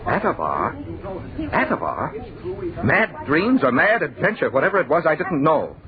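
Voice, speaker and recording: An actor playing a bewildered, frightened young man who tells his nightmare in halting, intense old-time radio narration, repeating words in disbelief. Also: a little high, huge pitch swings, crowd noise, exaggerated voice. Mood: disbelieving. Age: young adult. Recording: narrow, muffled old radio broadcast.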